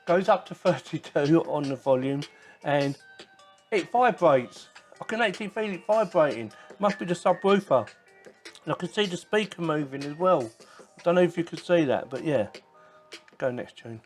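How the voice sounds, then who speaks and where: A man's voice: on the high side, lively intonation, normal speed, soft background music. Casual, chatty man reviewing a gadget. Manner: informal, chatty, thinking aloud. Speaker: tech product reviewer. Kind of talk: casual unboxing and demo commentary.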